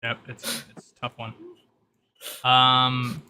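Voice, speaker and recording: A man's voice: medium pitch, completely flat delivery, takes it slowly, bright, forceful tone, slight background noise. A man narrating as game master, explaining the rules and briefing players in piecemeal, hesitant phrases.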